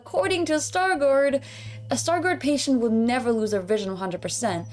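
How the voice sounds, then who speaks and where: A woman's voice: high voice, moderate pace. A woman narrating her own experiences as personal storytelling for an online video. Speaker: online content creator telling own story.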